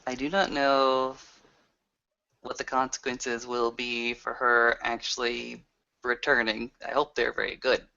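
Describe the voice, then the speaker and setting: A man improvising a tabletop roleplay, narrating and speaking in character with some halting delivery. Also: medium pitch, flat delivery, creaky, pushed and bright, muffled recording. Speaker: game master and roleplayer.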